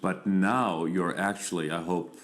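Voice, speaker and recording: Man, deep low voice, interviewer, short prompts and questions. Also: steady tone, unhurried.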